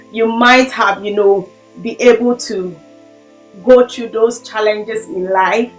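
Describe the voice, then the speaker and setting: A woman hosting an interview, speaking effusively and filling pauses with "you know". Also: medium pitch, roomy sound, rough recording. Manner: effusive, warm praise, fillers like "you know". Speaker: host. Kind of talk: podcast interview host.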